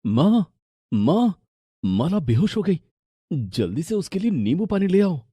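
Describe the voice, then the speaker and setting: A man's voice: high voice, sing-song, a little slow, warm tone, husky, no echo, studio quality, exaggerated voice. An actor playing a concerned family man in a story, speaking emphatically. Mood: alarmed. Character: concerned male family member, husband or doting father.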